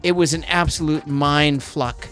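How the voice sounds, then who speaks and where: A middle-aged Canadian man hosts a podcast in a casual, rambling way, wandering off on tangents. His voice sits a little higher than most men's, and soft music plays faintly behind him. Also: steady tone. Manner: rambling, digressive, quick to go off on tangents. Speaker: podcast host. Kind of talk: casual chat.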